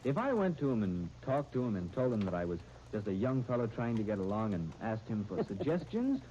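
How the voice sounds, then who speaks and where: Earnest young Harry, lively and mellow. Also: male voice, lowish voice, a little fast. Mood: stubborn, hopeful. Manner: earnest, conversational. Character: earnest, trusting, stubborn young man named Harry. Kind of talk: 1930s radio soap opera acting. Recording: muffled, hissy 1939 radio disc recording.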